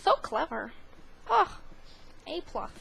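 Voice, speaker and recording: A woman's voice: high voice, sing-song, slow pace, gravelly, fairly bright tone, a little hiss or hum. A woman giving casual, silly commentary while playing a video game, with sing-song asides. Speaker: gaming video host. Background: video game sound.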